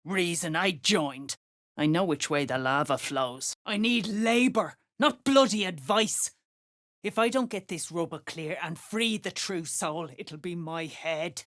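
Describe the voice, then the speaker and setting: A woman playing a gruff, foul-mouthed female duergar overseer in a video game, curt and contemptuous. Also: lowish voice, unhurried, a bit bright. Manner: curt, cursing, contemptuous slang. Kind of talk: video game character dialogue.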